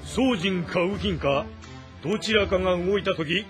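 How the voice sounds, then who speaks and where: A commanding war general in a historical game drama. He projects forcefully at a high pitch, with soft music behind. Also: unhurried. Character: fierce commanding general ordering his troops in battle.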